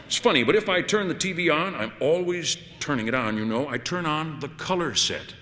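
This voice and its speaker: A man's voice: on the high side, lively intonation, brisk, pushed and bright, voice pushed up. A man voicing a genial, talkative blind man with a folksy, emphatic manner in a story reading. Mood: amused, chatty. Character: genial, talkative, loud-voiced bearded blind man, middle-aged guest. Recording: long hall-like reverb.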